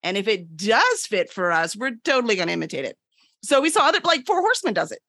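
A woman's voice: medium pitch, sing-song, quick pace, a bit bright, no echo. A woman guest in a casual interview, explaining things emphatically and often checking in with the listener. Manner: emphatic, peppers points with "right?". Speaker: podcast guest, book publisher.

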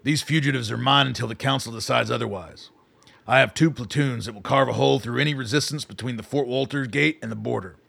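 A man's voice: medium pitch, fast talker, creaky, fairly bright tone, slightly rough, dry recording, exaggerated voice. A man acting as a defiant middle-aged army colonel in a radio play, formal and sardonic in a clipped way. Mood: firm, threatening. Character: gruff, defiant army colonel facing down a politician.

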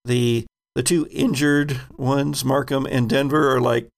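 A man's voice: mid-range voice, fairly even intonation, a little slow, gravelly. A man narrating a tabletop roleplaying game as game master, in a conversational, descriptive storytelling way.

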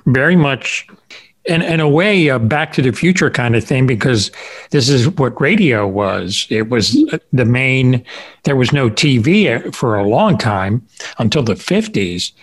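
A man hosting a podcast interview in a casual, rambling way, often halting as he thinks aloud. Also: medium pitch, expressive. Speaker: podcast host interviewing a guest.

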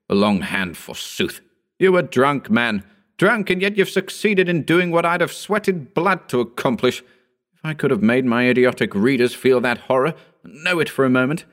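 A man voicing a vain, scornful, excitable male horror writer in a pompous, declamatory audiobook style. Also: medium pitch, voice pushed up. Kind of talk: dramatic audiobook character reading.

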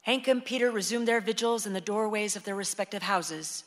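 A woman's voice: medium pitch, a little fast. Woman narrator in a reverberant hall. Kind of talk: scripted stage-direction narration.

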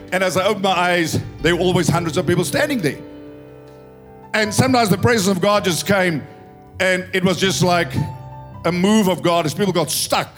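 High-voiced South African pastor, tired voice. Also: male voice. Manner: emphatic, storytelling, swelling to loud proclamation. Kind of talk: impassioned sermon mixing English and Afrikaans. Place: church.

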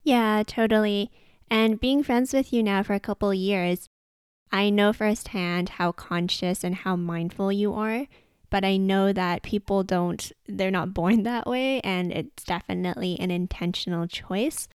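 This is a woman hosting a podcast and introducing her guest with real enthusiasm. Her voice is smooth and resonant, at a middle pitch for a woman. The sound is close and dry, with no room around it. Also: fairly even intonation, a little slow, no echo. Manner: upbeat and enthusiastic. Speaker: podcast host. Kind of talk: podcast interview.

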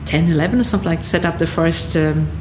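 A woman's voice: low-pitched, quick pace. A middle-aged woman with a Swedish accent, talking casually as an interview guest, thoughtful and hesitant with many fillers. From Sweden. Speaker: guest, Lord Provost. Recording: echoey room, faint background noise.

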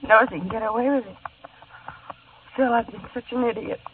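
A woman's voice: fairly high pitch, normal speed, lightly acted. An actress in a 1950s radio play, voicing an anxious, protective young woman who pleads in distress. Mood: distraught. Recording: tinny old radio broadcast with faint hiss.

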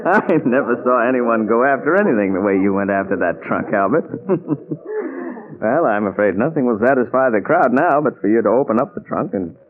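An actor playing an affable, persuasive friend, polite and coaxing, in 1940s radio style. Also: male voice, mid-range voice, expressive, a little fast, natural voice. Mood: jovial. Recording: tinny 1940s radio recording, crowd noise.

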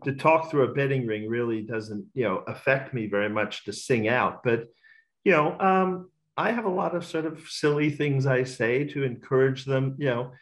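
A man's voice: mid-range voice, moderate pace. A middle-aged man, talkative and self-assured, giving casual interview answers as a racecourse bookmaker. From Australia.

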